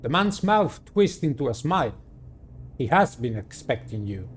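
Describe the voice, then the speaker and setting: A man hosting a game playthrough, reading the story aloud in a dramatic, deliberate way and adding his own commentary. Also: mid-range voice, sing-song, slight background noise. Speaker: gaming video host. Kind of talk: reading visual novel narration aloud with personal commentary.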